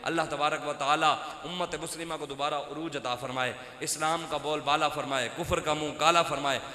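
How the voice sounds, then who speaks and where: A man preaching a fiery sermon in Punjabi-accented Urdu, swinging between loud outbursts and low, quiet lines. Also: steady tone, brisk, slight background noise. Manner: impassioned, rising to loud outbursts then dropping low. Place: outdoor religious gathering with loudspeakers. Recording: echoey hall with long reverb.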